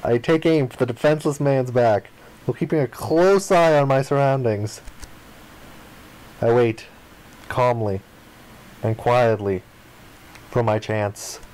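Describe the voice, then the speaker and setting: A man's voice: mid-range voice, a little slow, long pauses, darkish tone, low-quality recording. A man reading visual novel narration and dialogue aloud, acting out the lines as he goes. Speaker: gaming video player reading aloud.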